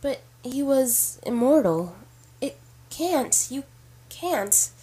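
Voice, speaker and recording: A woman's voice: high-pitched, sing-song, drawn-out words, warm tone, husky, heavily acted, poor audio. A woman playing a stressed, skeptical heir in an audio drama, incredulous and defiant in manner. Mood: shaken. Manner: incredulous, combative, sarcastic.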